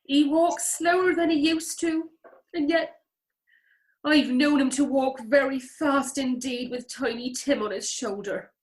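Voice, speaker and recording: A woman playing a gentle, grieving mother in a radio play, wistful and deliberate. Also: high voice, steady tone, low-quality recording. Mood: wistful, tender. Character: grieving, gentle working-class mother and wife. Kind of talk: radio drama acting.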